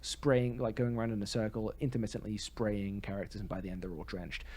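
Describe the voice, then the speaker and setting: A man's voice: lowish voice, flat delivery, a little fast, darkish tone, slightly rough. A man co-hosting a podcast, giving articulate, opinionated commentary in casual conversation. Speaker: podcast co-host. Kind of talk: casual discussion and commentary on theatre.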